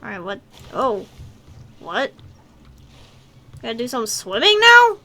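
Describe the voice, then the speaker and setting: A woman's voice: fairly high pitch, wildly swooping pitch, takes it slowly, sharp tone. A woman giving casual gameplay commentary, muttering exasperated asides to herself as she plays. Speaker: gaming video host.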